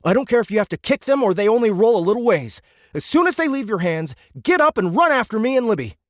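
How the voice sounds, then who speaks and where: An earnest teenage boy soldier, commanding his squad in an audiobook drama. The voice is high and animated, with a dry studio sound. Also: fast talker, no room sound. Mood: urgent, commanding.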